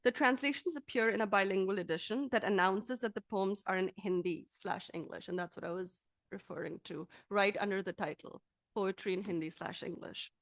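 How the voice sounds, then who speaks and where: A woman lecturer reading a scripted academic talk aloud in a formal, measured, articulate manner. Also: medium pitch, no room sound.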